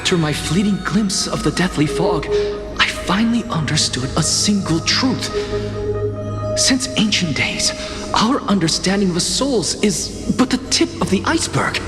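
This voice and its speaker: An actor playing a proud, defiant scholar in a video game, theatrical and sardonic in a deliberate way. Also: male voice, high voice, lively intonation. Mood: impassioned. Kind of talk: dramatic game voice acting. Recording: music playing behind the voice.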